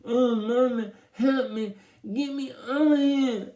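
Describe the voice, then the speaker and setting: A man's voice: extremely high pitch, takes it slowly, mellow, voice pushed up. A man voicing a panicky older boy who whines and pleads for help. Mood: panicked, pleading. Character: frantic whining older boy, stuck and begging for help.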